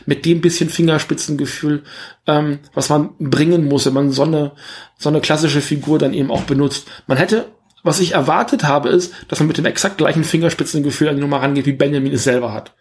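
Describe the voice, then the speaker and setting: A man's voice: fairly high pitch, fairly even intonation, fairly quick. A man in a casual film review discussion as podcast co-host, making his points emphatically and repeating them.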